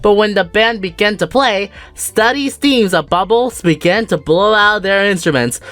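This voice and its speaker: A woman's voice: medium pitch, normal speed, a bit bright, no room sound, faint music behind. A woman narrating a children's story for a fan dub with playful emphasis.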